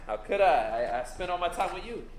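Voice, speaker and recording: A man's voice: high-pitched, lively intonation, fast talker. A young actor playing a conflicted teenage boy who stammers and laughs nervously, in a stage play. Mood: hesitant. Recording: echoey stage, faint room noise.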